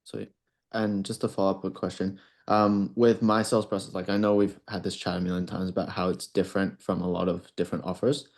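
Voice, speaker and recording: Low-voiced man on a coaching call. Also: level tone, brisk, darkish tone. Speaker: coaching client. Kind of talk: casual question on a coaching call.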